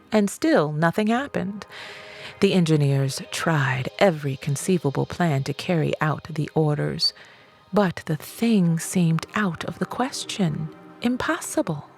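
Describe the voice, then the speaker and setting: A woman reading a self-help audiobook in a measured, emphatic storytelling manner. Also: low-pitched, creaky. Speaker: audiobook reader. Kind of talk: scripted narration of a self-help book.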